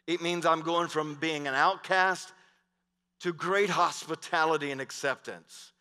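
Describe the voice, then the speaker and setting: Middle-aged male pastor, headset mic, emphatic sermon delivery with loud and hushed swings, bright tone, big hall reverb. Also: a little high, fairly even intonation, measured pace. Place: large church stage.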